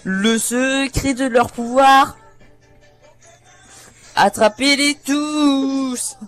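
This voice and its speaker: Slow, halting man doing amateur singing. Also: extremely high pitch, fairly bright tone, soft background music. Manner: halting, self-conscious. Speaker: friend singing a cartoon theme as a forfeit. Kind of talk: amateur singing and reciting of a cartoon theme.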